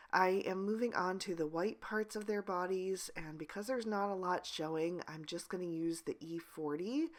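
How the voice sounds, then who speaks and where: Woman craft host, low-ish and hoarse, breathy near-whisper voice-over, steady tutorial delivery. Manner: pushes to speak as loudly as she can, steady instructional tone. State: hoarse, losing her voice. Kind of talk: voice-over narrating a card-coloring tutorial.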